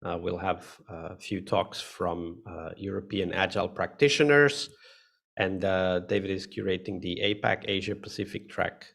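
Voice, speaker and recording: A man's voice: sits low, moderate pace, creaky, fairly bright tone. A man hosting a live online session, speaking casually with many fillers and restarts over a video call mic. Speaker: host.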